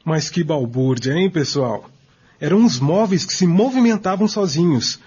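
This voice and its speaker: A man with a Brazilian accent playing an emphatic commentator in a scripted radio drama, with a very raspy voice. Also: on the high side, lively intonation, normal speed, darkish tone. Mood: amazed, emphatic. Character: emphatic male commentator on spiritist history. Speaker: radio drama narrator and discussant. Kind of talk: scripted radio drama narration in dialogue form. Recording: dull, lo-fi audio.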